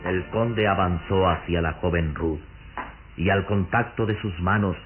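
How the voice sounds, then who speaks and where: A solemn narrator of an old gothic radio serial. His very low voice builds suspense theatrically. The sound is dull and boxed-in, over prominent music. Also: unhurried. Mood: grave, ominous. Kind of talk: dramatic scripted radio narration.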